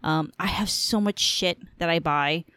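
Low-voiced woman rambling on her podcast. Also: a little slow, a bit bright, clear, resonant tone, dry recording. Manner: rambling, candid, swears casually. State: coughing from allergies. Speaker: podcast host. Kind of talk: casual solo podcast chat. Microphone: headphones on, home podcast mic.

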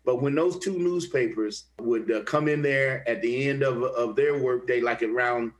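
A man's voice: mid-range voice, moderate pace. An older actor from the Kansas City area speaks in an easygoing interview and tells his stories. He often stops and restarts his sentences, repeating words as he gathers his thoughts. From Kansas City area, USA. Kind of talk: conversational interview, storytelling.

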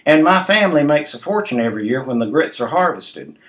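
A man's voice: a little high, big pitch swings, a little fast, voice pushed up. A man voicing a young waiter as a Southern bumpkin, with a thick Southern US accent and an earnest, matter-of-fact folksy drawl, in a memoir reading. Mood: proud, deadpan.

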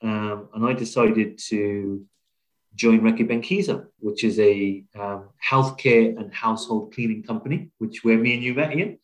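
Middle-aged man, British accent, lowish voice, conversational interview style, reflective, often pausing. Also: poor audio. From Wales, UK. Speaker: podcast guest, business leader. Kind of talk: conversational interview, telling own career story.

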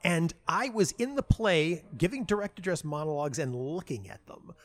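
A middle-aged man talking casually and reflectively as an interview guest, halting and restarting often, with a self-deprecating manner. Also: on the high side, animated. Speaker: playwright and audio dramatist being interviewed.

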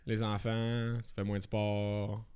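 A man in his late twenties with a Québécois accent, co-hosting a podcast in a relaxed, joking way and swearing freely. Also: on the low side, takes it slowly, clean tone. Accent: Québécois French. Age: late 20s. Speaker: podcast co-host. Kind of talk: casual chat.

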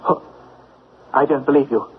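A man's voice: mid-range voice, steady tone, moderate pace, close to an everyday voice. An actor playing a stubborn, distraught young man, defiant and emotional, in an old-time radio drama. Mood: defiant, upset. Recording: tinny, noisy old radio broadcast.

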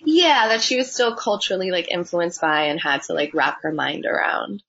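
Young woman budtender, husky and gravelly, relaxed chatty interview answers, dull lo-fi recording. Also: lowish voice, normal speed. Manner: relaxed, chatty, many fillers. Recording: dull lo-fi sound.

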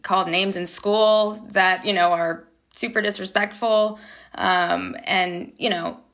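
Young woman, gravelly voice, bright tone, chatty with fillers. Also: medium pitch, steady tone, slow. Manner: chatty, with many fillers and soft laughs. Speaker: actor guest. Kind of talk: interview answers, casual and reflective.

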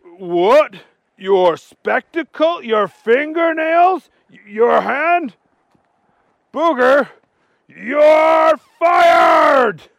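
A man voicing a stern, exasperated middle-aged male fast-food manager who scolds his worker. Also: very high-pitched, lively intonation, creaky, no echo, pitched above the performer's own voice, full character voice.

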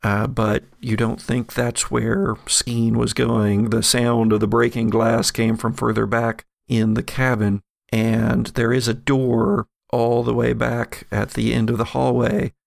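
A man narrating a horror tabletop game as game master, describing scenes in a halting, improvised way. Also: mid-range voice, little pitch movement, vocal fry, no room sound, clean studio sound.

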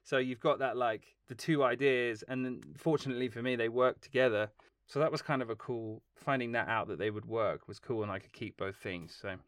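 A man talking as a film composer guest in a casual interview, telling long, lively stories and laughing along the way. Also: medium pitch, fairly quick, smooth, no echo, clean studio sound. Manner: animated, rambling storytelling with laughs.

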